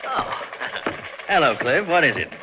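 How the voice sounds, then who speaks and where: Friendly older banker boss, mumbly and trusting, super bouncy pitch, noisy old radio recording. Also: male voice, sing-song, a little slow, highs cut off. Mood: genial, distracted. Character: genial, unsuspecting older male bank boss. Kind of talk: 1940s-50s radio drama acting.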